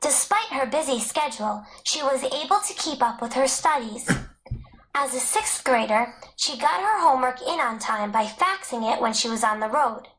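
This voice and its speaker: A woman's voice: sits high, animated, moderate pace, fairly bright tone, rough recording. A woman reading a scripted educational text aloud as a narrator. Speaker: audiobook narrator.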